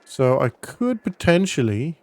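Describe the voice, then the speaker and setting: A man's voice: mid-range voice, very animated, a little slow, darkish tone, no echo. A man giving casual, informal roleplay commentary as a gaming vlogger, thinking aloud as he goes. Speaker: gaming vlog host.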